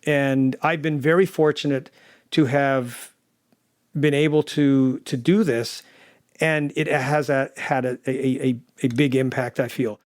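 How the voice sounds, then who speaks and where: A man in his fifties or older giving a reflective interview, halting and repeating words as he thinks. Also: mid-range voice, steady tone, no room sound, studio quality. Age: 50s or older. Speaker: technical producer and interview guest.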